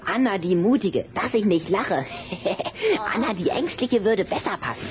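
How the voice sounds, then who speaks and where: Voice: mid-range voice, expressive, unhurried, background noise, lightly acted. Sulky, mocking young vampire boy played by an actor. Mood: defensive, mocking. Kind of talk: children's radio play acting.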